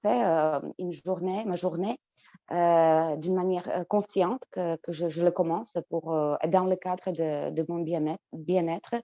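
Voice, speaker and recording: Hesitant Czech-accented woman, lowish voice. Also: dark tone, dry recording, highs cut off. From Czech Republic. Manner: hesitant, many fillers and repeated words. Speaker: guest. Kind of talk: interview answers.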